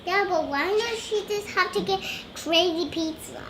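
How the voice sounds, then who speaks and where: A young child reading a storybook aloud and chatting, stumbling haltingly over words. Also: very high-pitched, sharp tone, roomy sound, a little hiss or hum. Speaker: young reader.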